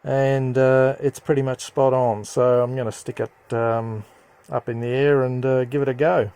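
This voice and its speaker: A man with a medium-pitched voice narrates a casual, hands-on hobby demonstration. He talks in a halting way, thinking aloud as he goes. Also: level tone, warm tone, smooth, no echo. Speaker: hobbyist radio presenter.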